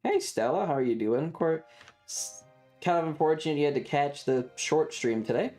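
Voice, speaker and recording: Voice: medium pitch, lively intonation, normal speed, darkish tone, faint music behind. A streamer chatting casually while playing a video game, rambling along to themselves and the viewers. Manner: rambling, talks to self and chat. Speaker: streamer hosting a live stream.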